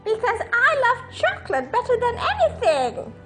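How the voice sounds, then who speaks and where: A woman's voice: very high-pitched, wildly swooping pitch, moderate pace, a bit bright, soft background music, voice pushed up, full character voice. A woman voicing a strict, scolding female health teacher in a storybook, a secretly greedy villain, emphatic and theatrical. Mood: gloating glee.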